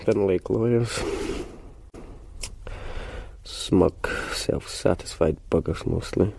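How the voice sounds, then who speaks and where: A weary Scottish detective, sardonic radio drama lead, slow and gravelly. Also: male voice, mellow, exaggerated voice. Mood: wry. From Scotland. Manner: dry and sardonic, low murmuring. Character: dry, cynical male Glasgow cop, middle-aged. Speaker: police detective.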